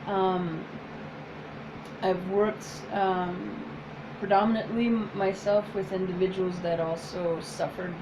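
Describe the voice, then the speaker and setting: A woman whose first language is French, speaking as a psychotherapist in a soft, hesitant casual chat with many pauses. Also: mid-range voice, steady tone, noisy background. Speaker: psychotherapist, co-host.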